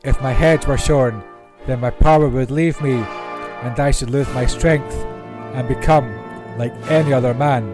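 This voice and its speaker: Male Bible reader, medium pitch, scripted storytelling narration, classical music behind. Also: steady tone, moderate pace. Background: classical orchestral music.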